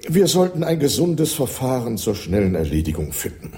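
An actor playing a pompous English lord in a radio drama, brisk and ceremonious in his formal phrasing, with a creaky, mellow voice. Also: medium pitch, very animated, lightly acted. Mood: pompous, impatient.

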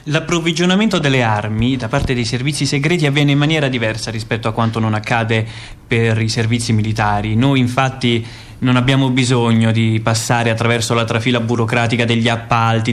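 A man's voice: mid-range voice, fairly quick, close to an everyday voice. Precise, methodical male assassin played by an actor. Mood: instructive. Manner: precise, explanatory. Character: methodical secret-service assassin, cool weapons expert. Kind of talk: radio theatre monologue.

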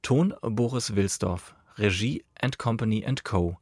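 Male broadcast announcer for scripted credits: fairly deep voice, dry close studio recording. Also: unhurried, a little mellow, no room sound. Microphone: close, dry studio mic.

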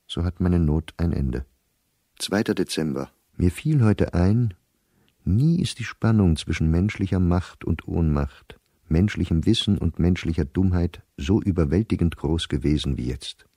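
An actor playing an embittered, anxious middle-aged professor reading his diary in a radio play, in a measured, weary manner. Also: sits low, mellow, slightly rough, no echo, clean studio sound. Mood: sombre, reflective. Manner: measured, weary, dropping to near-whisper at grim thoughts. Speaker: diarist narrator.

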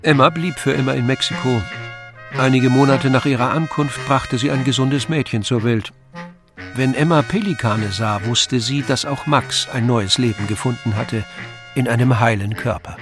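A man's voice: mid-range voice, normal speed. A man reading scripted narration for a radio drama. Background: music.

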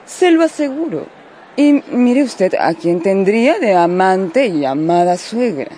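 A woman's voice: mid-range voice, sing-song, a little slow, warm tone, a little hiss or hum. An actress playing a snobbish, jealous society lady, haughty and insinuatingly polite, in a radio drama.

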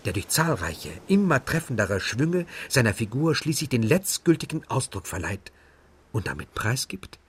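Very raspy, lively male narrator. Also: mid-range voice, moderate pace. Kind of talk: scripted literary voice-over translation.

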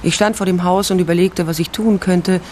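A woman's voice: lowish voice, steady tone, fairly quick, mellow, a little hiss or hum, lightly acted. A woman playing a tough, laconic female private investigator and ex-cop in a radio crime drama, in narration and dialogue. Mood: dry, reflective. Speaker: narrator and lead actor. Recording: studio radio play with music underneath. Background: music.

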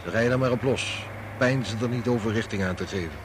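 An actor playing a level-headed, sober friend who talks in a cool, terse, quietly challenging way in a Dutch radio drama. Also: male voice, on the low side, quick pace, noisy background, close to an everyday voice. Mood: musing, sombre.

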